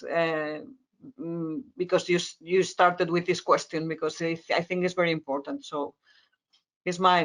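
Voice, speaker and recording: Halting Spanish-accented woman explaining. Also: fairly low pitch, a bit bright. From Spain. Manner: halting, word-searching explanation with repetitions. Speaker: expert panelist. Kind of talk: conference panel discussion.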